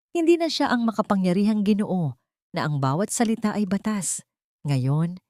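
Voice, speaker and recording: A woman reading scripted dramatic narration as an audio storyteller, in a solemn, measured way. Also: medium pitch, darkish tone, no room sound, studio quality.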